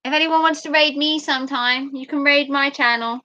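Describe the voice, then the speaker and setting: A chatty, playful woman talks to her livestream viewers in a high, bright voice that is starting to go hoarse. The sound is dry and close, with a slightly dull, muffled quality. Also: fairly even intonation, moderate pace, no echo. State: voice going hoarse. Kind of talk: casual chat with viewers.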